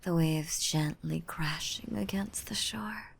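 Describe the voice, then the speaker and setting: Casting: gentle nurturing mermaid for ASMR roleplay, female actor, slow and soothing, lowish whispery voice. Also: exaggerated voice. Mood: serene, curious. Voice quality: breathy, whispery. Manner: soothing, doting, uses pet names. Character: gentle, nurturing mermaid rescuing a drowning human. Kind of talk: roleplay ASMR audio drama.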